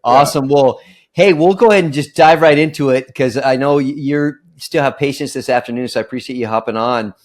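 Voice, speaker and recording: A man's voice: mid-range voice, brisk. A man in his forties hosting a casual interview in a chummy, complimentary way. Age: 40s. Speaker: interview host.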